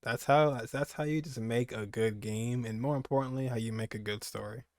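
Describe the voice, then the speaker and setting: A man's voice: medium pitch, steady tone, moderate pace, a little mellow, no room sound. Young male gaming streamer, dry close sound. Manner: rambling, repeats phrases for emphasis. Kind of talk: casual reaction commentary while gaming.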